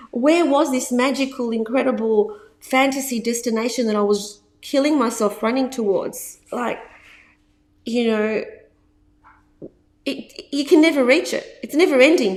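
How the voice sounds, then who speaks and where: Woman, 50s, business coach, casual to-camera talk, slightly high pitch, emphatic repetition, frequent laughs. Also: normal speed. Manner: emphatic, repeats words for stress, laughs often.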